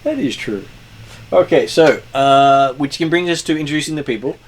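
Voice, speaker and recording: A man running a tabletop game as game master, relaxed and joking while explaining rules. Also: a little high, normal speed, slight background noise.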